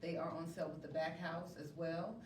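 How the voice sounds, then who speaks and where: A woman's voice: low-pitched, little pitch movement, brisk. A woman guest on a live interview giving announcements and thanks in an informal, effusive way. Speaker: interview guest, stage playwright. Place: indoor venue before a studio audience. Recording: echoey room, distant mic, noisy background.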